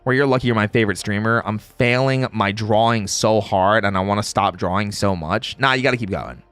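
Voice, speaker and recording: A man's voice: on the low side, a little fast, a bit bright, no echo, soft background music. A man in a playful, casual livestream chat, reading out viewer comments and replying in slangy speech. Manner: playful, reads chat aloud and replies.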